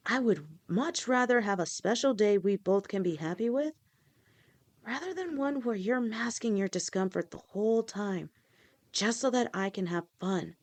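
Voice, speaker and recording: A woman's voice: mid-range voice, natural voice. A woman voicing a loving lamia fiancée. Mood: reassuring. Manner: gentle, reassuring, affectionate. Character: loving female lamia fiancée, protective and reassuring. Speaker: voice actor. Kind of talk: audio roleplay.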